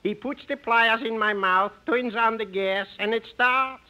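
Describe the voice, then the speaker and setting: High-pitched, genial Yiddish-accented comic. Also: male voice, measured pace, sharp tone, highs cut off, heavily acted. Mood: amused, animated. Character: genial, silly comic man, Mister Kitzel. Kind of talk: 1950s radio comedy acting. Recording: noisy old 1950s radio recording.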